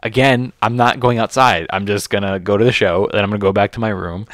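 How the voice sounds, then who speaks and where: Low-voiced man in his thirties, dry sound. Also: fairly even intonation, quick pace, no room sound. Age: 30s. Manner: informal, slangy, frequent swearing. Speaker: comedian, podcast host. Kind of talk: casual chat.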